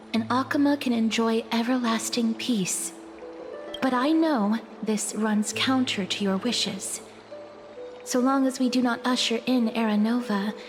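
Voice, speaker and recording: An actress voicing a dutiful young female envoy in a video game, speaking politely and earnestly in a measured way. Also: on the high side, lightly acted. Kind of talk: dramatic video game voice acting. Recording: music underneath.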